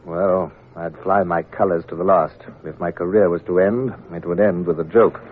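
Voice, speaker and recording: A grave naval captain, played by a man, recalls his voyage in a deep, low voice, measured and reflective. The sound is thin and phone-like, with a faint hiss, like an old radio play broadcast. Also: fairly even intonation, lightly acted. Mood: somber. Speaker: narrator and lead character. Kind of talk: 1950s-style radio drama.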